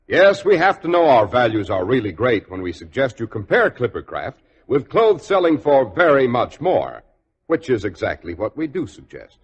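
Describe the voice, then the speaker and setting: A man's voice: mid-range voice, very animated, normal speed, dull audio, a little hiss or hum. A man announcing on 1940s radio with an emphatic, salesmanlike delivery. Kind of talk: 1940s radio advertising and narration.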